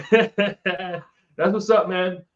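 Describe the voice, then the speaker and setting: A man's voice: high voice, steady tone, extremely slow. A man hosting a casual podcast, talking in an enthusiastic, laid-back way full of slang. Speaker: podcast host. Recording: echoey, distant, dull home mic.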